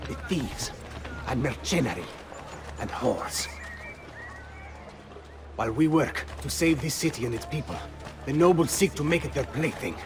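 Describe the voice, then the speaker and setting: Actor as earnest Italian thief leader. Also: male voice, fairly high pitch, close to an everyday voice. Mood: passionate. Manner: earnest, rhetorical. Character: earnest, idealistic male Venetian thief leader, passionate and brotherly. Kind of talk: dramatic video game acting.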